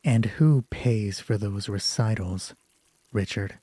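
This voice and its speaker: A man's voice: fairly low pitch, slow, warm tone, breathy edge, no echo, studio quality, voice pushed down. A man playing a distracted, defensive career woman in an audio drama, curt and guarded.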